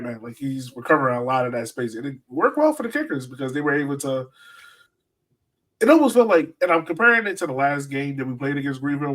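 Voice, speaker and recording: A man with a medium-pitched voice breaks down a soccer match as a podcast co-host. His delivery is casual and conversational, with emphatic points. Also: fairly bright tone, low-quality recording.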